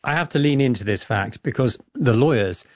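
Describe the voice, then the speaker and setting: Relaxed, curious male podcast host. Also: mid-range voice, a little fast, dry recording, clean studio sound. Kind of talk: casual interviewing.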